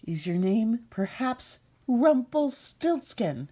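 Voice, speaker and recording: A woman's voice: fairly high pitch, very animated, slow pace, warm tone, pitched above the performer's own voice, heavily acted. A woman voicing a young miller's daughter turned queen, hesitant and questioning, in a storybook reading. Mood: coy guessing.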